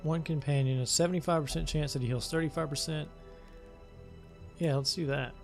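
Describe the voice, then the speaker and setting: A man's voice: fairly high pitch, fairly even intonation, brisk. A man hosting a let's play, chatting casually as he plays and thinking aloud with many hesitations. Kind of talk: casual gameplay commentary. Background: game music.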